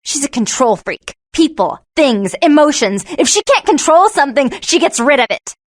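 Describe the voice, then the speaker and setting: High, girlish, taunting vampire vixen. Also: big pitch swings, normal speed, no room sound, studio quality. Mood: accusing.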